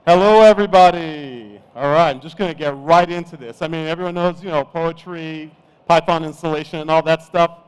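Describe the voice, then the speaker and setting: Middle-aged man from Nigeria, conference speaker, easygoing and chatty, smooth voice. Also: a little high, brisk, poor audio. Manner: relaxed, chatty, self-deprecating. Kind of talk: conference talk. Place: conference stage.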